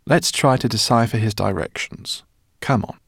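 A man's voice: lowish voice, moderate pace, creaky, fairly bright tone, no room sound, clean studio sound. A man reading an audiobook, voicing a kindly, flustered older male professor.

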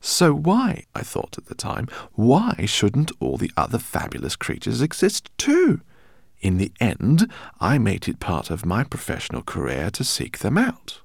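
Guy doing an eccentric old professor, higher and louder than his own voice, gushing, a bit gravelly. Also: huge pitch swings, a little slow, no echo, clean studio sound, heavily acted. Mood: eager. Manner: effusive, exclaiming, gently fussy. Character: eccentric, excitable older male professor. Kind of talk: audiobook character reading.

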